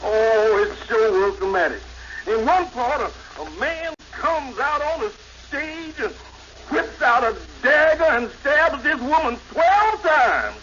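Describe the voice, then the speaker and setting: An actor playing an excitable, gossipy, wisecracking crony in a 1950s radio comedy, exaggerating everything in folksy grammar. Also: male voice, extremely high pitch, takes it slowly, exaggerated voice. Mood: dramatic. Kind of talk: 1950s radio sitcom acting. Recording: muffled, very noisy 1950s radio recording.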